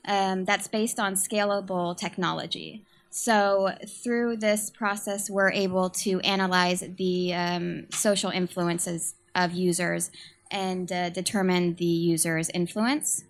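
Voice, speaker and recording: A young woman from San Francisco with a quiet voice, giving a nervous startup pitch as communications manager, hesitating with many ums and uhs.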